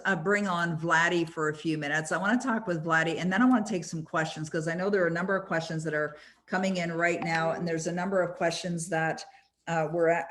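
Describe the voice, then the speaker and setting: A woman hosting an online group call, teaching goal setting in an emphatic style that repeats key phrases. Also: on the low side. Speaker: host and success coach. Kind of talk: motivational webinar teaching.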